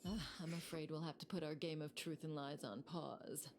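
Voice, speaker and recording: A woman's voice: deep voice, fairly even intonation, normal speed, darkish tone, slight background noise. An actress playing a poised, teasing woman villain in a fantasy video game, with composed, fatalistic delivery. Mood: amused, teasing. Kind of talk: video game character acting.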